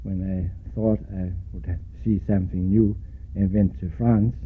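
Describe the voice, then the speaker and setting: An older Danish-accented man speaks low and unhurried, often stopping to spell out names. The sound is thin and phone-like, with a faint hiss under it. He is being interviewed about his life. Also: level tone. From Denmark. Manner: slow, spells out names letter by letter, many hesitations. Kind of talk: oral history interview. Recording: tinny lo-fi recording with faint hiss.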